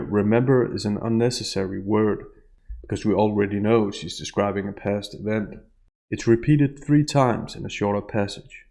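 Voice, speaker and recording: A man delivering scripted analytical commentary in a measured, precise, explanatory way. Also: on the low side, creaky, dark tone. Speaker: analyst and narrator of a statement analysis video.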